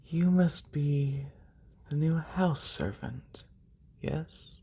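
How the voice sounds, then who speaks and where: A man playing a haughty, demanding lamia noble in a soft-spoken ASMR roleplay, formal and condescending, with a breathy, husky voice close to a binaural microphone. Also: a little high, heavily acted. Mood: poised, condescending. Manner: condescending, formal, drawn-out delivery. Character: haughty wealthy lamia noble, demanding serpent-bodied employer.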